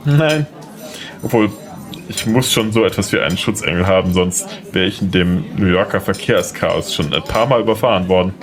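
A man's voice: low-pitched, moderate pace, soft background music, close to an everyday voice. A man playing a polite, slightly unsure and charming young lawyer in an audio drama. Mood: friendly, charming. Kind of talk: German audio drama dialogue.

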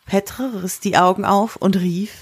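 A woman's voice: mid-range voice, fairly even intonation, a little slow, husky, no room sound. Woman narrating an audio drama.

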